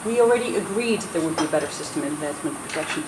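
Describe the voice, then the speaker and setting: A woman's voice: sits low, lively intonation, very fast. A Dutch woman with an American accent, speaking earnestly and persuasively as a panelist at a roundtable. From Netherlands, raised in the US. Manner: earnest, persuasive, many restarts. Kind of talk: roundtable speech. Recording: echoey room with noise.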